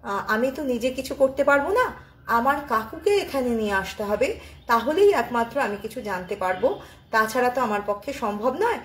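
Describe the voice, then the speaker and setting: A woman's voice: fairly high pitch, big pitch swings, normal speed, fairly bright tone, echoey room. A woman quoting a young man who earnestly reassures a restless spirit. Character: young male resort worker pleading with a spirit.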